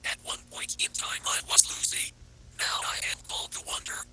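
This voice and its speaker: Sinister whispering AI cat entity. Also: male voice, a little fast, bright, forceful tone. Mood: eerie, grandiose. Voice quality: breathy, unvoiced whisper. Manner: menacing, repetitive, grandiose. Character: sinister godlike cat entity, a conscious rogue artificial intelligence. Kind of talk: analog horror voice acting.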